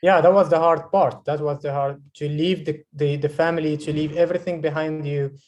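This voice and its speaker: A man in his twenties from Morocco, a guest filmmaker speaking in an interview over a video call, often pausing and restarting as he searches for words. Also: a little high, fairly even intonation, darkish tone, muffled recording. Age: 20s.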